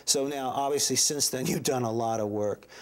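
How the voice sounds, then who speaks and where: A man's voice: mid-range voice, moderate pace. A man chatting casually as an interviewer, with a playful, teasing manner.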